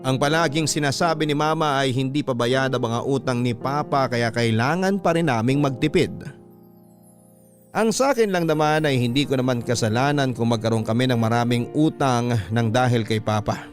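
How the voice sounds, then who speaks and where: A man with a Filipino accent voicing a resentful, self-pitying student's personal story as measured, confiding radio drama narration. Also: mid-range voice, faint music behind, close to an everyday voice. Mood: aggrieved.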